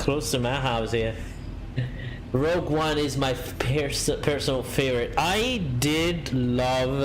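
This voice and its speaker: Casual streamer: man, rambling, mid-pitched voice, faint background noise. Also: rough recording. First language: Italian. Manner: rambling, many fillers and restarts, reads out chat. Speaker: flight simulator streamer. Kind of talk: casual live-stream chat.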